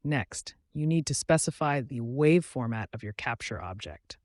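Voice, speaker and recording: A man narrating a scripted tutorial as its host, in a measured, instructional way. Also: mid-range voice, animated, darkish tone, dry recording, studio quality.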